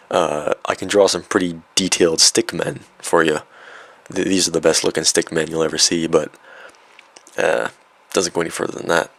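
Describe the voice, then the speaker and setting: A young man with a low, somewhat raspy voice, worn down by a cold. He talks off the cuff about himself, rambling and poking fun at himself. Plenty of ums and uhs along the way. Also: a bit bright, dry recording. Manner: rambling, filler-heavy, self-mocking. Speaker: video creator. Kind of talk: unscripted vlog.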